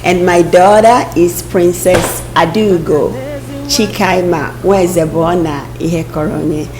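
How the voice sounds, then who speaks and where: A woman in her thirties, an interview guest, speaking in an earnest, measured and reflective way. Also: on the low side, steady tone, over music. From Nigeria. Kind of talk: conversational interview.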